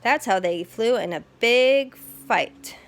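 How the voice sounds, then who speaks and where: A welcoming air show guide from a children's storybook, read by a woman, with a very animated delivery. The guide explains things simply and clearly in short sentences. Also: fairly high pitch, takes it slowly. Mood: informative.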